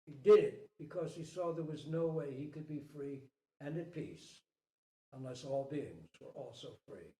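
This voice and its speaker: Male Zen teacher, higher-pitched voice, unhurried pace, emphatic storyteller's phrasing, dramatic pauses, scripted dharma talk. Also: a little mellow. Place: indoor hall at a Zen center. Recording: roomy, echoing space.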